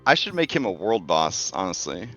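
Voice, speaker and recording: A man's voice: low voice, very animated, moderate pace, sharp tone, dull audio, soft background music. A man in his forties, the game master, narrating a tabletop session in a chatty, joking way with many fillers. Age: 40s.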